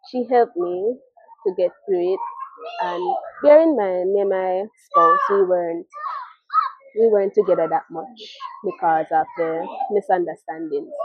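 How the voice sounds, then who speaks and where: A woman giving a personal testimony as spoken storytelling, in halting short phrases with pauses and repeats. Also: mid-range voice, breathy edge, no room sound, phone-quality sound. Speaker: storyteller, mother sharing her own story.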